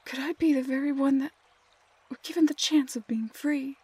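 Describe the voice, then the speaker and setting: A woman playing a tender, anguished young woman in a fantasy audio roleplay, speaking in a hushed, hesitant, halting way. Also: sits high, steady tone, dry recording, lightly acted. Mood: sorrowful, shaken.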